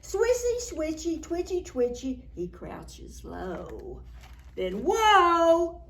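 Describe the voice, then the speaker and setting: A woman storyteller reading a picture book aloud to young children in a playful, dramatic, expressive way. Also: very high voice, drawn-out words. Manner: animated, playful, dramatic reading with gasps and exclamations; addresses young children with simple questions.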